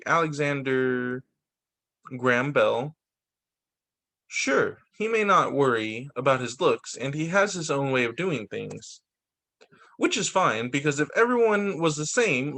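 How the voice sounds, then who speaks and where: Animated male teacher reading aloud, fairly high voice. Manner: expressive reading with short spoken asides. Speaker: teacher reading picture books to pupils. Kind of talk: read-aloud story time for children.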